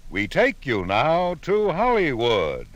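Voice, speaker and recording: A man's voice: fairly high pitch, wildly swooping pitch, takes it slowly, dull audio, very noisy. A man narrating a scripted radio documentary as its presenter. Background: music.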